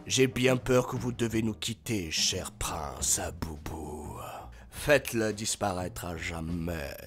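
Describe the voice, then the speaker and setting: A man acts a scheming cartoon sorcerer, hushed and menacing. He draws his words out slowly and drops low, with faint music behind. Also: expressive. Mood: greedy, menacing. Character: sinister scheming adult male sorcerer villain, cartoon.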